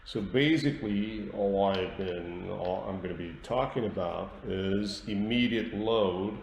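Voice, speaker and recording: A man with a Portuguese accent presenting an instructional webinar as a dentist, repeating words for emphasis in halting phrases. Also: low-pitched, creaky, lo-fi sound. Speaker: dentist giving a webinar lecture. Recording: roomy echo with light background noise.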